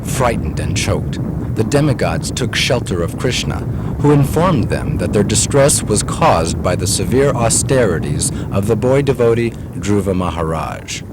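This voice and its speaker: Deep, expressive male storyteller. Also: a little slow, very noisy. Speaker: narrator. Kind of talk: scripted storytelling narration for a puppet show.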